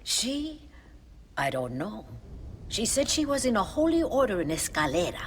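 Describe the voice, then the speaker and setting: A woman playing a pious, compassionate Mexican mother superior in a video game, with a Mexican accent and measured, formal phrasing. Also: mid-range voice, sing-song, natural voice. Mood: warm, evasive. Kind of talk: video game cutscene acting. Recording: long reverb, faint background noise.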